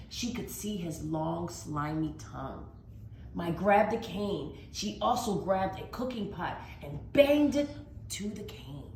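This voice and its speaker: A woman's voice: on the low side, darkish tone. A woman reading a children's storybook aloud as a teacher, with dramatic, emphatic expression. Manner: dramatic, with emphatic drawn-out words. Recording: roomy echo, faint background noise.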